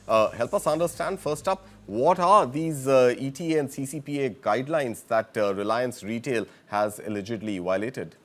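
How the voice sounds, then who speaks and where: A man's voice: mid-range voice, normal speed, hardly any pauses. A man with an Indian accent presenting the news and interviewing as a broadcast anchor.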